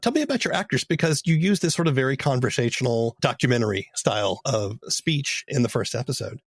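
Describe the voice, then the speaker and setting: Male interviewer, conversational host with animated intonation and some vocal fry. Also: mid-range voice, brisk.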